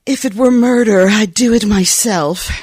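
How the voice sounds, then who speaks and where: Woman playing a husky, cool femme fatale. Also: medium pitch, a little slow, a little mellow, dry recording. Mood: firm, commanding. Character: cool, sultry, tough femme fatale client. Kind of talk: old-time radio detective acting.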